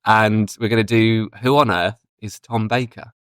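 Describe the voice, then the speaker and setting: A man in his thirties chatting casually as a podcast co-host, joking in a deadpan way. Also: fairly low pitch, normal speed, clear, resonant tone, no echo, clean studio sound. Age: 30s. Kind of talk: casual bantering podcast chat. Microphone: online call.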